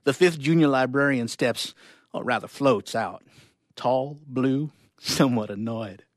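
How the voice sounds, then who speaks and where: A man performing a live reading of short stories, with expressive delivery and casual asides. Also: mid-range voice, a little slow, no echo, clean studio sound.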